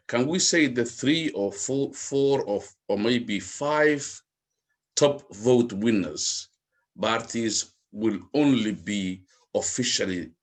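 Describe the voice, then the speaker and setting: Low-voiced husky man rambling earnestly. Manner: rambling, repeats words, earnest questions. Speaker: webinar participant. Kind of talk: panel discussion remarks. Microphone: video call.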